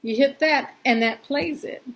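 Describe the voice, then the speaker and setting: A woman's voice: high voice, measured pace. A woman presenting an informal step-by-step tutorial in a chatty, folksy and reassuring way, using plain everyday words.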